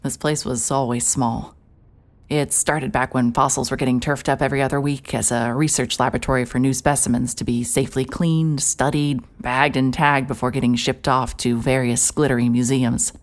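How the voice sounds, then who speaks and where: A woman playing a hard-hearted, stubborn private investigator in an audio drama, narrating in a wry, terse, deadpan way. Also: a bass voice, normal speed. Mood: brooding, observant. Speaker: narrator and lead actor.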